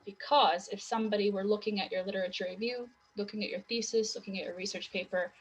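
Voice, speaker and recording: A woman's voice: medium pitch, fairly even intonation, fast talker, husky, poor audio. A woman writing tutor, losing her voice, explaining in long run-on sentences full of rhetorical questions. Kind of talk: conversational teaching talk.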